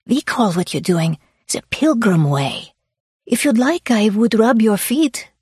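A woman's voice: on the high side, sing-song, a little slow, a little mellow, breathy edge, no room sound, studio quality, voice pushed up, exaggerated voice. Woman voicing an earnest, lilting spiritual healer. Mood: eager, earnest. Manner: solemn, earnest, stilted phrasing. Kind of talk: audiobook character voice.

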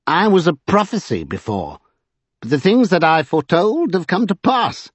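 A man's voice: high-pitched, sing-song, moderate pace, breathy edge, no room sound, voice pushed up, full character voice. A man voicing a gleeful, teasing vagabond who talks in riddles in a storybook reading. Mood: musing, amused. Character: gleeful, capering, mischievous vagabond man, a living book of magic.

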